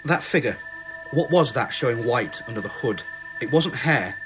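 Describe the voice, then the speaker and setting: A man's voice: mid-range voice, expressive, moderate pace, over music, lightly acted, low-quality recording. An actor playing a police inspector who asks probing questions hesitantly and stumbles over his words. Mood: puzzled. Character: cautious, puzzled police inspector. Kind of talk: radio drama acting.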